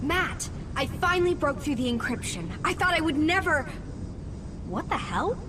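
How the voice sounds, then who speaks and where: Young game sidekick tech girl, high lively voice, earnest, music behind. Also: normal speed, distant mic. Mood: urgent.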